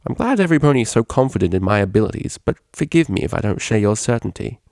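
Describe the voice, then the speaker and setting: A man's voice: fairly low pitch, sing-song, fast talker, gravelly, a little mellow, no echo, clean studio sound. A man voicing a prim, easily exasperated female cellist pony with a proper, wordy, huffy manner in a story reading. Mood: anxious sulking.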